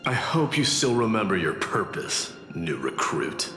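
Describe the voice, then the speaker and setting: A proud, haughty prince of warriors in a fantasy game. He speaks slowly and formally, with menace and wide swings of pitch. A long reverb trails his words. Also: very animated. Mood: haughty, threatening. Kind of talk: video game voice acting. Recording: echoey hall reverb, music behind.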